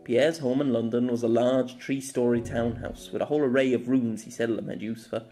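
A man's voice: mid-range voice, darkish tone. Male story narrator over music.

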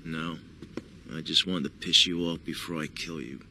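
An actor playing a hot-tempered, commanding young crime boss in a video game, with a curt, tough manner. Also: male voice, very deep voice, steady tone, normal speed, gravelly, sharp tone, a little hiss or hum, full character voice. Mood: cold, menacing. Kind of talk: video game cutscene acting.

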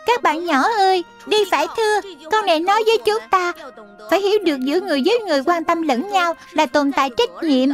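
Little cartoon schoolgirl, very high voice, sweet, sensible, music underneath. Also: quick pace. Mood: earnest, instructive. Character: sweet, sensible young schoolgirl, loving daughter. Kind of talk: dubbed children's cartoon acting.

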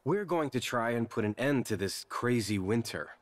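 A man playing a plain-spoken, steady young mountain man in a game cutscene. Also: medium pitch, moderate pace, natural voice. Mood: matter-of-fact. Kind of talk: video game cutscene acting.

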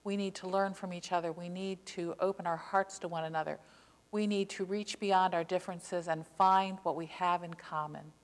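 An older woman pastor delivering an earnest, impassioned sermon from a pulpit, slowing down to stress key words. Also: lowish voice, fairly even intonation. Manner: earnest, impassioned preaching with slow emphatic stresses. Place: church sanctuary, behind a pulpit. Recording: long church reverb.

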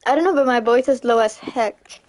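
Young female streamer, slightly high voice, casual, chatty, quick to laugh. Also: a little mellow, rough recording. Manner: chatty, laughs often, repeats "you know what I'm saying". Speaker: livestreamer. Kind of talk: casual chat while gaming, reading chat.

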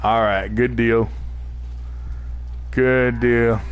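A man's voice: mid-range voice, steady tone, extremely slow, clean tone, phone-quality sound. A man from New England, chatting casually as a live streamer while playing a shooter, rambling and reading viewers' chat aloud. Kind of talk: casual gameplay commentary.

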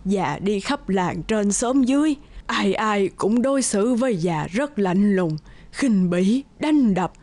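Slow, frail old beggar woman. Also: medium pitch, animated, a little mellow, no echo. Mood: sorrowful. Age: older. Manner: slow, pleading, halting. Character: frail, humble old beggar woman, secretly wise spirit. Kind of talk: animated folk-tale acting.

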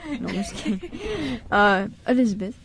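A woman's voice: a little high, big pitch swings, moderate pace, vocal fry, noisy background. A young woman casually hosting a radio sign-off among friends. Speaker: radio show host.

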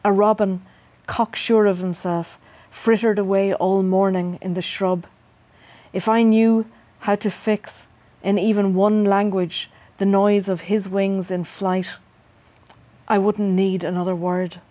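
A middle-aged Irish woman poet, reading her work and answering interview questions in a measured, hesitant way with many ums, recorded on a studio set with a lapel mic. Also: medium pitch, little pitch movement, warm tone, no echo. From Ireland. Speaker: poet guest.

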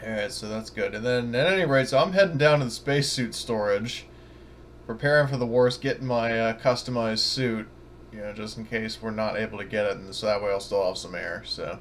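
A man's voice: lowish voice, fairly quick, slight background noise. A man chatting casually as a player in an online tabletop roleplaying game. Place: indoors on an online voice call.

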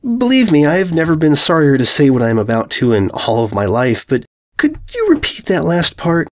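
A man's voice: on the high side, wildly swooping pitch, brisk. A man voicing a small female pony in a story reading, polite, articulate and wryly exasperated. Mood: apologetic, exasperated. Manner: polite, wry, wordy.

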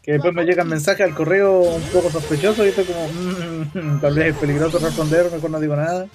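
A young Chilean man with a high voice chats loosely through a gameplay stream, reading viewers' messages aloud and joking about them. His tone stays casual and playful, and faint game music runs quietly behind him. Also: fairly even intonation, a little fast. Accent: Chilean Spanish.

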